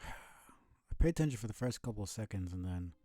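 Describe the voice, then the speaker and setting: A man live-streaming a video game, giving casual, low-key commentary as if muttering to himself while he plays. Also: expressive, quick pace, mellow, no room sound, clean studio sound. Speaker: livestreamer playing a video game.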